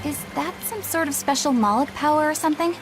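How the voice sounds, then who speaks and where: A woman's voice: sits high, a little fast, background noise, close to an everyday voice. A woman playing an earnest young female knight in a video game, prim, skeptical and easily flustered. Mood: curious. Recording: game music under the voice.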